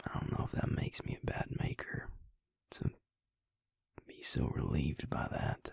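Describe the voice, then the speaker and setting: A man playing a brooding vampire maker in an intimate audio roleplay, speaking haltingly and reflectively in a husky, gravelly whisper. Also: no echo, clean studio sound, lightly acted. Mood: uneasy, confiding. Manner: halting, reflective, low-key. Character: brooding male vampire maker confiding in his mate.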